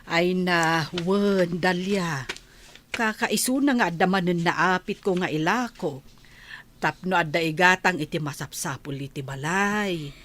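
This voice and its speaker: A woman acts as an older village mother in an Ilocano radio play. Her voice sits a little low. She is homely and sympathetic, the sort who hands out advice, and has an Ilocano accent. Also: unhurried, a bit bright, a little hiss or hum. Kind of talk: Ilocano radio comedy drama acting.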